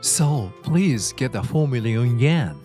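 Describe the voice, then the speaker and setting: A man's voice: medium pitch, lively intonation, takes it slowly, mellow. A man voicing a childish, selfish, demanding husband in a dubbed story drama, whiny, belittling and blustering. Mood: pushy. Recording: background music under the voice.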